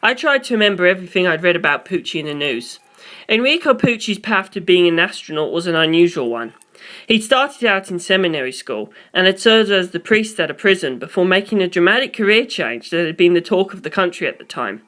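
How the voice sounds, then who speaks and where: A man's voice: high voice, very animated, a little fast, sharp tone. A man serves as the narrator of a story. He reads scripted first-person prose, moving through description and inner questions.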